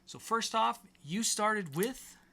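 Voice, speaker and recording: A man's voice: high voice, animated, takes it slowly, gravelly, sharp tone. A man co-hosting a podcast, presenting segments and comic book reviews in a casual, chatty style. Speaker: podcast co-host.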